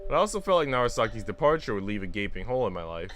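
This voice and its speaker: A man's voice: lowish voice, racing, over music. A man streaming a visual novel, switching between casual slangy chat and reading the story aloud to his viewers. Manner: slangy, animated storytelling in asides. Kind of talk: casual livestream chat mixed with read-aloud narration.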